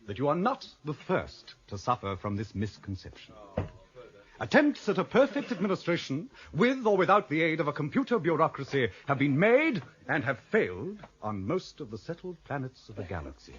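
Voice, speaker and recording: An exasperated, condescending university lecturer with a husky, fairly high voice. He swoops dramatically between emphatic declamation and sardonic asides, in a muffled radio play recording. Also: sing-song, normal speed.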